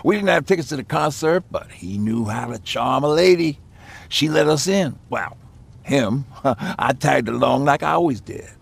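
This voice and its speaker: Game role: haunted yet easygoing older male survivor, husky and lively in pitch, chatty storyteller. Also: normal speed. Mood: wistful. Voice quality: gravelly, raspy. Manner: folksy, lighthearted, chatty. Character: folksy aging male survivor, lighthearted but haunted. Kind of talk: game voice acting, reminiscing dialogue.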